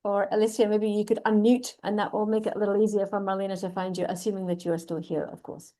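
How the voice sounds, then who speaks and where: A woman moderating an online session as co-teacher, patient and gentle, with hesitant fillers while waiting for a participant. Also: medium pitch, level tone, quick pace.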